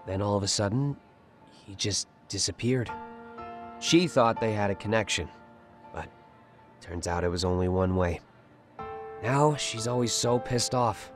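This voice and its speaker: A man's voice: lowish voice, lightly acted. An actor playing a blunt teenage male student in a video game dub, with casual phrasing. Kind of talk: English game dub dialogue.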